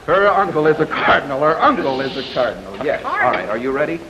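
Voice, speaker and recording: A man's voice: on the high side, very animated, quick pace. An American man hosting a live 1950s television game show. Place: TV studio with an audience. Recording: dull 1950s TV broadcast, noisy studio audience.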